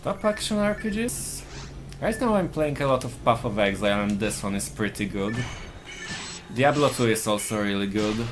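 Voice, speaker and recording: A man's voice: fairly low pitch, expressive, normal speed. A man with a Polish accent, a speedrunner explaining his tactics plainly while he plays live. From Poland. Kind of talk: live gameplay commentary. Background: game music.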